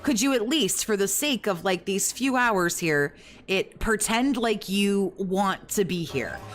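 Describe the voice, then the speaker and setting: A woman giving reaction commentary in a fairly monotone, rambling way, critical and swearing often. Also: medium pitch, unhurried, faint music behind.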